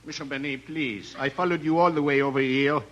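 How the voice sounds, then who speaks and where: A man as a highish-voiced, put-upon violin teacher. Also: steady tone, a little fast, close to an everyday voice. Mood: pleading. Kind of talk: 1940s radio comedy acting. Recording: very noisy, muffled 1940s radio recording.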